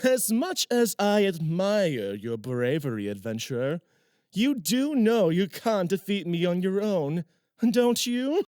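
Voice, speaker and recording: A man's voice: sits high, big pitch swings, slow, darkish tone, no room sound, clean studio sound, heavily acted. A man playing a pompous, menacing noble sorcerer villain in a fantasy audio drama, gloating and taunting theatrically.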